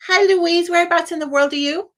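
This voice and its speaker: A woman's voice: very high voice, steady tone, brisk, a bit bright. A British woman hosting a live phone-in as a psychic medium, chatting casually through a reading and often checking that the caller understands.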